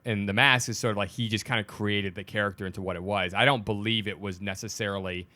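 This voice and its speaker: A man's voice: fairly low pitch, fairly even intonation, fast, pushed and bright. A man chatting casually as a podcast co-host, informal and emphatic, free with swearing. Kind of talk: casual chat.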